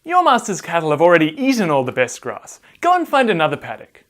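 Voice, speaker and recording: Man doing bossy, quarrelsome herdsmen, swooping pitch. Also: wildly swooping pitch, quick pace, fairly bright tone. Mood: argumentative. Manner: bossy, argumentative. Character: quarrelsome herdsman arguing over grazing land. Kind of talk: Bible storytelling for children.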